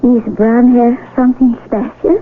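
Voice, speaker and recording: An old radio drama, narrow in sound and faintly hissy, features a woman as a young, innocent village woman. Her voice sits fairly high, and she speaks simply and earnestly, with a quiet pride. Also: flat delivery, moderate pace, natural voice. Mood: gentle, curious. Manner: simple, earnest, slightly formal phrasing. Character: gentle, proud young blind village woman, innocently curious.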